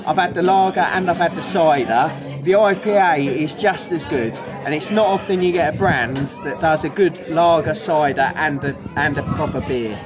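A man's voice: on the high side, normal speed, hardly any pauses, music in the background. A middle-aged British man hosting a vlog, chatting casually to camera in a jokey, teasing way. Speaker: vlog host. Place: camper van, farm yard and outdoor spots. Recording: clear ambient noise on camera audio.

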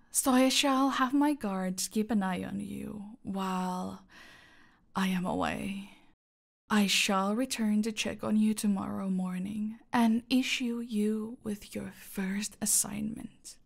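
A woman playing a domineering, seductive cartel boss in a scripted audio roleplay, haughty and menacing, with a breathy voice that often drops to a whisper. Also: mid-range voice. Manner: slow, menacing, haughty, purring endearments.

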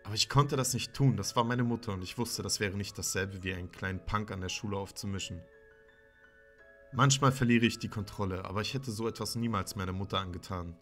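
A man's voice: lowish voice, fairly quick, fairly bright tone, close to an everyday voice. A man playing a sullen, troubled teenage son, bitterly narrating his own horror story in a dramatic way. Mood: defiant, tense. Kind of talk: scripted first-person horror narration. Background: music.

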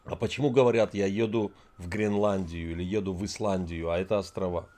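A man in his forties on a webcam, calmly quizzing strangers with mocking questions. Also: sits low, brisk. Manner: calm, needling, mocking. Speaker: video chat host. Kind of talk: quizzing strangers on video chat. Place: room, seated in an armchair.

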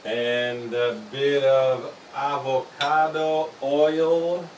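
Slow, gentle man in a roomy kitchen. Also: medium pitch, flat delivery. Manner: slow, gentle, with fillers and restarts. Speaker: home cooking channel host. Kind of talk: casual talk to camera. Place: small brick-walled home kitchen. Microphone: camera mic from across the room. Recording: roomy, distant camera sound with faint hiss.

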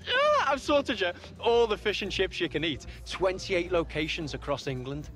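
A man's voice: high-pitched, very animated, moderate pace, fairly bright tone, soft background music, rough recording. A young man acting a breezy, boastful wheeler-dealer mate in a video game, chirpy like a salesman. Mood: proud. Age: young adult. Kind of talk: naturalistic video game cutscene dialogue.

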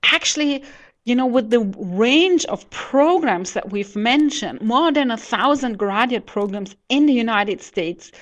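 A middle-aged non-native English-speaking woman answering webinar questions as an adviser, hesitant and searching for words, on a headset mic. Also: a little high. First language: not English. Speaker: education adviser, webinar presenter. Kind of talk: online presentation answering audience questions. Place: indoors in an office, on a webcam. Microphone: headset microphone.

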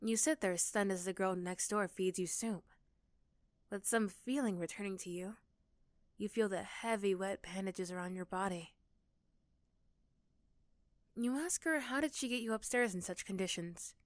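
A woman's voice: medium pitch, moderate pace, long pauses, no echo, studio quality. A woman reading scripted second-person story narration for an ASMR roleplay.

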